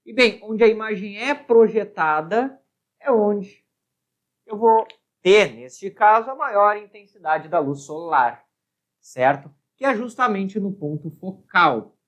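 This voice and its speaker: A woman's voice: medium pitch, expressive, takes it slowly. A woman with a Brazilian accent working through a physics problem as a teacher, explaining and often checking understanding with short tag questions. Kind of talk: spoken worked-problem lesson.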